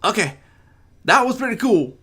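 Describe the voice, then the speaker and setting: Man putting on a high, bold little filly. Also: big pitch swings, normal speed, bright, forceful tone, husky, full character voice. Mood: impressed. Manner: high-pitched, demanding. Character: bold, suspicious young filly, small pony girl.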